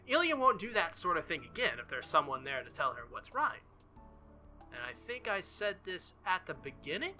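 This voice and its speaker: A man's voice: high voice, sing-song, normal speed, bright, forceful tone. A man reading a visual novel aloud as a let's-play host, theatrical and jokey, with casual asides. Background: faint game music.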